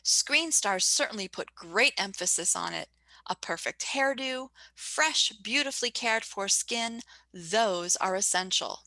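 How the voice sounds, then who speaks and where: Woman acting bright, gushing radio reporter. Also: mid-range voice, animated, a little slow, no room sound, rough recording. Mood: gushing, friendly. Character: chatty, gushing female Hollywood radio reporter. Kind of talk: 1940s radio ad patter.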